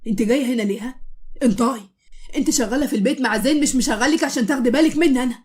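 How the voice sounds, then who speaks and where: A woman voicing a short-tempered male police officer who shouts orders, with an Egyptian accent. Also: fairly high pitch, fairly even intonation, rapid-fire, lightly acted. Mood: angry. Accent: Egyptian Arabic. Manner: shouting, commanding.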